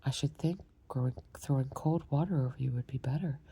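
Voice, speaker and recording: A woman's voice: very deep voice, a little fast, warm tone, breathy edge, exaggerated voice. A woman voicing a polite, curious young girl in a whispered storybook reading.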